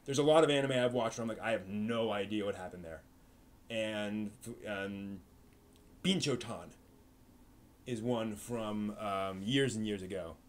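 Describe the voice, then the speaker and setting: A man's voice: on the low side, unhurried, slight background noise. Rambling man hosting a live stream. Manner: rambling, many fillers and restarts. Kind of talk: casual live-stream chat answering chat questions.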